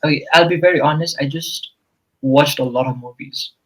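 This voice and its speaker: A man's voice: a little high, moderate pace, rough recording. A man whose first language is Nepali, talking as a guest English tutor in a casual interview. He explains things earnestly and often checks in with "you know" and "right?".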